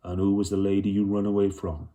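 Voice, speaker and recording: A man voicing a kindly, plain-spoken old leader in a children's storybook, quietly commanding and direct, with folksy rustic grammar. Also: lowish voice, flat delivery, fast, natural voice. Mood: probing, firm.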